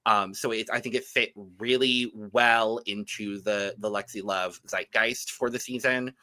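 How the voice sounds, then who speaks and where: A man's voice: lowish voice, steady tone, a little slow, pushed and bright. A man co-hosting a podcast, giving casual, opinionated reviews of fashion looks. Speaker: podcast co-host reviewing drag runway looks.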